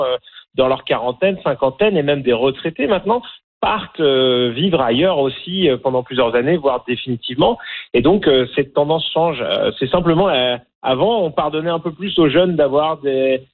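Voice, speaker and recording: A middle-aged man from France with a French accent, a documentary filmmaker interviewed on the radio, talking with many fillers and repeated words. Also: on the high side. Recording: narrow phone-line sound.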